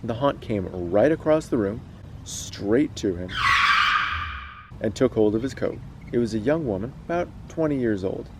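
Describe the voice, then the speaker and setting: A man's voice: medium pitch, animated, normal speed, heavy background noise. A man hosting a casual read-aloud, moving between storytelling and chat. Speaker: host reading stories aloud.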